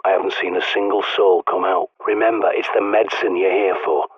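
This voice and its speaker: An actor playing a gruff, bossy middle-aged survivalist in a video game, blunt and sardonic, heard through a radio filter. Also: male voice, low-pitched, fairly even intonation, fairly quick, no room sound. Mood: gruff, cautioning. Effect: radio transmission filter. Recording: tinny, band-limited radio with static.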